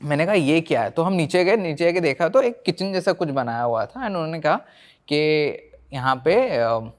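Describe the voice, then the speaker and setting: A man's voice: fairly high pitch, expressive, moderate pace. An Indian man, a paranormal investigator, telling stories conversationally as a podcast guest, mixing Hindi and English and acting out reported dialogue.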